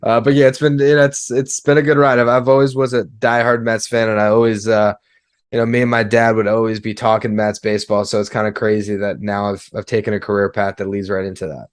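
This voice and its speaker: A man's voice: on the low side, flat delivery, quick pace, clear, resonant tone, no echo, clean studio sound. A man in his twenties talking casually and at length about sports as a podcast guest.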